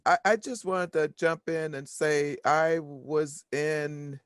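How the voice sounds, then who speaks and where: A woman with a deep voice, a workshop attendee sharing a personal story over a video call, halting and repeating her words. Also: fairly even intonation, dry recording, clean studio sound.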